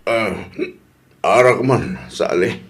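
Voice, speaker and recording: A man's voice: lowish voice, slow pace. An actor playing a lovesick jilted man who cannot move on, in a comedy radio drama. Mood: dejected.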